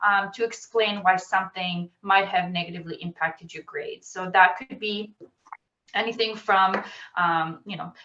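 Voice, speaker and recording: A woman's voice: mid-range voice, fairly even intonation, normal speed, sharp tone, slight background noise. A woman admissions officer explaining rules methodically in a webinar, with frequent ums, over a video call. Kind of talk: webinar presentation. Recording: roomy, distant, dull video-call audio.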